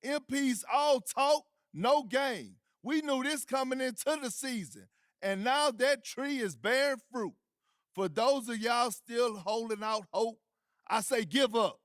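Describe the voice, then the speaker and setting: High-voiced scornful sports pundit. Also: male voice, unhurried, a bit bright, no room sound, clean studio sound. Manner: emphatic, dismissive. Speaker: sports debate show pundit. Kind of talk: opinionated sports TV commentary.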